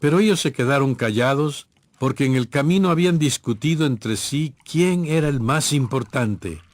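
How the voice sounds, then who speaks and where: A man narrating a scripted scripture story in a measured storytelling manner. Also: mid-range voice, dry recording.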